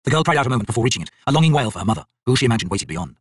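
A male audiobook narrator reads dark fantasy with solemn drama. His voice is slightly rough and moves quickly, with lively swings in pitch. The sound is dry and close. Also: dry recording.

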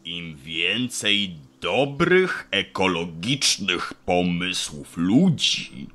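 An actor playing a wise, slow old beech tree with a measured, all-knowing manner in a children's audio play. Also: male voice, sits low, sing-song, sharp tone, full character voice. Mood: serious.

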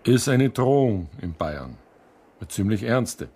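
Low, raspy policeman. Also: big pitch swings, slow, dark tone, exaggerated voice. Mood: matter-of-fact. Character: calm, matter-of-fact male police officer asking questions. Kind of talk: naturalistic German radio crime drama acting.

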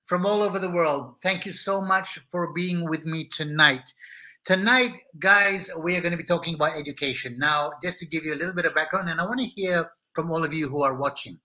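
A man's voice: high voice, rough recording. A middle-aged Malaysian man with a Malaysian accent, hosting a live online talk show and chatting energetically with viewers in a small studio. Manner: energetic and hyper, greeting viewers by name. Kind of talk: casual live-stream chat with viewers. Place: small indoor studio set with brick wall.

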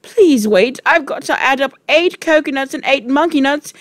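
A woman's voice: high-pitched, moderate pace, no room sound, studio quality, pitched above the performer's own voice, natural voice. A woman voicing a frightened, flustered schoolboy in a storybook, stammering and crying out in protest. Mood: panicked.

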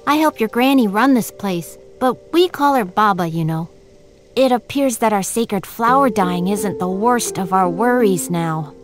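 A woman's voice: medium pitch, animated, a little slow, soft background music, natural voice. A woman voicing a friendly, earnest young village boy in a fantasy game. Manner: earnest, youthful. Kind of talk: fantasy game character acting.

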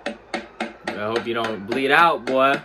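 A man's voice: mid-range voice, takes it slowly, noisy background. A man casually commenting on a game clip as he reacts to it.

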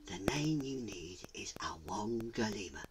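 A storyteller voicing serene, hushed mountain spirits in a whispery, breathy voice for a children's tale. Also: medium pitch, animated, unhurried, noisy background, voice pushed down, natural voice.

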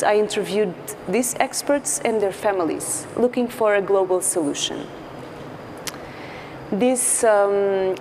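A woman in her thirties from Portugal with a Portuguese accent, giving an earnest conference talk as a psychologist, pausing often with fillers. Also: medium pitch, a little mellow. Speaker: clinical psychologist speaker. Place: large dark stage. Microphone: lapel mic. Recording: large hall with faint noise.

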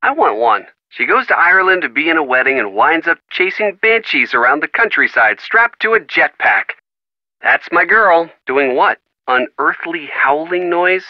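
A man's voice: fairly high pitch, no room sound. A man playing a supportive young boyfriend in a video game, casual, wry and affectionate over a phone line. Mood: teasing, concerned. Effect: telephone filter. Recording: band-limited phone-line sound.

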